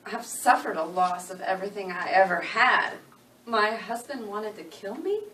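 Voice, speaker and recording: A woman's voice: medium pitch, expressive, moderate pace, bright, forceful tone. Woman playing a grieving, defiant country widow. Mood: wounded, defiant. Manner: folksy rural dialect grammar, pleading. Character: grieving, defiant, plain-spoken rural American widow. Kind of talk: dramatic reading of a novel. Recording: roomy, distant, faint background noise.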